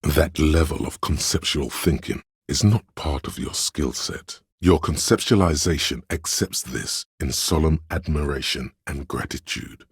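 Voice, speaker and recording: The pretentious artistic voice inside a detective's head for a video game. It is an extremely low, gravelly bass that moves slowly and deliberately. Every phrase is delivered as theatrical narration, with the gravity of a discerning critic. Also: male voice, dry recording, clean studio sound, exaggerated voice. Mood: solemn, lofty. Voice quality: very deep gravelly husky bass. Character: artistic inner voice of a detective's mind, lofty and critical.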